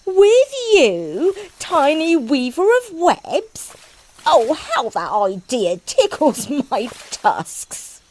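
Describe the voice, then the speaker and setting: A woman voicing a boastful, haughty female hippo empress in a children's story, with grand, drawn-out exclamations. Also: high-pitched, huge pitch swings, a little mellow, slight background noise, voice pushed up, exaggerated voice. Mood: amused scorn.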